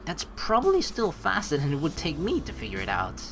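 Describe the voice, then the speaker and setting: A low female voice with lively, swinging intonation plays through a game. She reads the text aloud and adds playful, joking asides in a casual way, over clearly audible game music. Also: a little fast. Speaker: let's play host.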